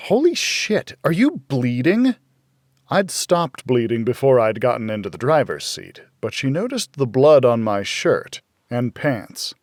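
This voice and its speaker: A man's voice: mid-range voice, big pitch swings, a little slow, few pauses, no echo, clean studio sound. An American man reading scripted first-person noir thriller narration as an audiobook narrator.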